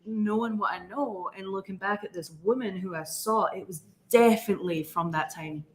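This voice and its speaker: A woman's voice: medium pitch, normal speed, crowd noise. A woman with a Scottish accent, chatting casually as a guest on a paranormal convention panel, laughing easily and using many fillers. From Scotland.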